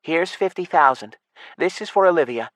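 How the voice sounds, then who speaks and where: A man voicing an earnest young elf girl with a raised, higher voice in an audiobook. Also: lively intonation, moderate pace, dry recording, lightly acted.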